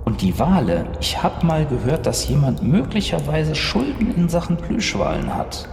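Animated man role-playing in an echoey space. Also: a little high, normal speed, creaky. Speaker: role-playing game player. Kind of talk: improvised in-character tabletop play. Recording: echoey, reverberant, faint background noise.

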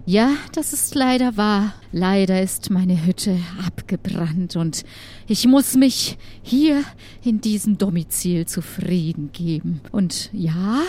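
A woman performs an aloof witch for an improvised radio play. The witch speaks formally and takes measured care over each phrase. The manner is haughty and bargaining. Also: medium pitch, lively intonation, mellow, voice pushed down. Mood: aggrieved, stately. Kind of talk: improvised audio drama acting.

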